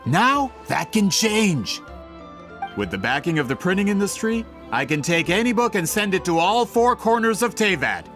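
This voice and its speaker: An actor playing a smug, greedy businessman villain in a video game, with theatrical, drawn-out gloating. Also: high-pitched, sing-song, a bit bright, faint music behind.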